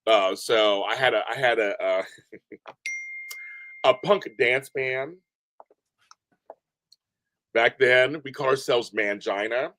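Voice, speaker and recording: A deep-voiced man chatting as a podcast guest, animated and rambling, often repeating himself. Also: slow pace, pauses often. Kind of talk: casual interview chat. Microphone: remote call from home.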